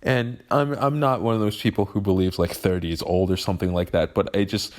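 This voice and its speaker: A man of twenty-one talks to camera in a halting, emotional way, often restarting his sentences as he vents about growing up. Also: fairly low pitch, fairly even intonation. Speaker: vlogger. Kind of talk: personal venting monologue.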